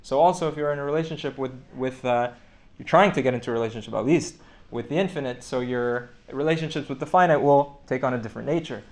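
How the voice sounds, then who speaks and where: A man's voice: mid-range voice, quick pace, darkish tone. Man lecturing on law with many restarts. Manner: explanatory, with frequent restarts and fillers. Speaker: teacher of Torah law. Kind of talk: classroom lecture.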